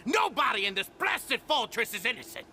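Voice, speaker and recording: An actor playing a cruel, self-righteous prison gang boss in a video game, ranting in a theatrical, menacing and grandiose way. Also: male voice, extremely high pitch, big pitch swings, a little fast, bright, forceful tone, slight background noise, full character voice. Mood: furious, menacing.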